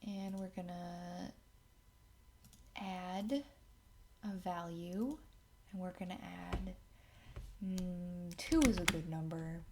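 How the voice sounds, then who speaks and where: A woman hosting a casual software tutorial, thinking aloud as she works through each step. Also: on the low side, slow pace, pauses often. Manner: thinks aloud while working. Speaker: tutorial host. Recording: clear background noise, keyboard and mouse clicks.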